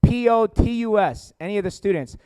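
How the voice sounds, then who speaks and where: An American man presents at a seminar in a crisp, resonant voice with very little room echo. He speaks informally as an educator and host, keeping things jokey and engaging with his audience. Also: high-pitched, lively intonation, a little fast, mellow. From born in South Korea, grew up in Atlanta, United States. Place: indoor seminar room with families and online viewers.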